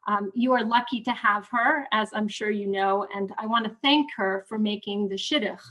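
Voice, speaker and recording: A woman's voice: mid-range voice, normal speed, a bit bright. A woman from the northeastern United States with an American accent, giving prepared remarks as a guest lecturer and professor. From New Haven, Connecticut, United States.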